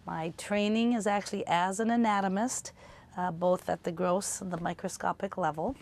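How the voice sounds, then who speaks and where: A woman's voice: mid-range voice, steady tone, moderate pace, darkish tone. An older woman, a college instructor, introducing herself and her work informally. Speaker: college biology and anatomy instructor. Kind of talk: informal self-introduction to camera.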